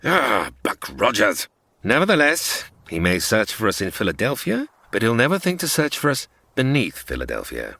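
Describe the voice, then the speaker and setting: A scheming villain with big theatrical swings in pitch. The voice is recorded close and dry, in a comedy audio drama style. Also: male voice, huge pitch swings, moderate pace, creaky, bright, forceful tone, dry recording, exaggerated voice. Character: scheming male villain Killer Kane, smug.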